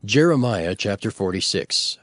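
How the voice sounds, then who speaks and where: A man narrating scripture in a formal, measured and solemn audio Bible style. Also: fairly low pitch, animated, a bit bright. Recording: prominent music under the narration.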